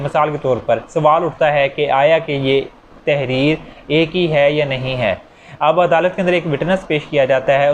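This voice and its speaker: A man's voice: a little high, slight background noise. A man with a Pakistani Urdu accent giving a conversational law lecture, explaining points through worked examples.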